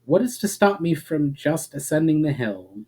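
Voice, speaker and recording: Man reading as a proud, questioning elf hero, mellow. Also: fairly high pitch, big pitch swings, moderate pace, slightly rough. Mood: challenging.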